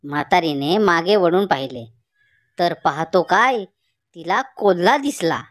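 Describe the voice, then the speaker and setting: Female narrator for children's tales: very unhurried, lively intonation, scripted storytelling. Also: lowish voice.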